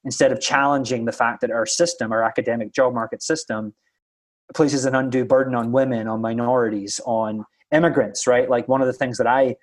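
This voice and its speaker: A man with a mid-range voice and a lightly flattened Scottish accent talks through his opinion in a discussion. He sounds earnest and argumentative, building his point conversationally and often seeking agreement. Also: mid-range voice, fairly quick. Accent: Scottish, somewhat flattened. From Scotland. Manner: earnest, argumentative, frequent tag questions.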